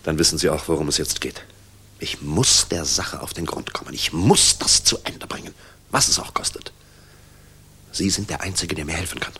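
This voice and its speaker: A gruff air force general in a 1960s German radio play. The voice sits low and rough-edged. He speaks tersely and urgently, with a wry, worn-out edge. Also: moderate pace, pushed and bright, heavily acted. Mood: confiding, grave.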